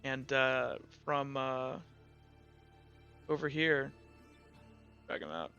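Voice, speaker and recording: A man improvising casual tabletop narration as game master, in a halting, informal way. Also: medium pitch, very animated, gravelly, pushed and bright, highs cut off, soft background music.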